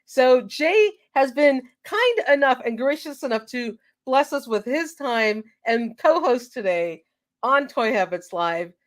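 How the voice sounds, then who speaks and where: A woman with a bright, high voice hosts a live stream in loose, casual chat. She sounds slightly congested from a cold and laughs easily between names. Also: animated, measured pace. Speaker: live show host.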